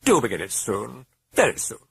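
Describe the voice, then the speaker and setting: A man's voice: mid-range voice, huge pitch swings, moderate pace, gravelly, fairly bright tone, a little hiss or hum, pitched above the performer's own voice, heavily acted. A man voicing a sardonic, mocking demon that speaks through a possessed girl, sneering and theatrical.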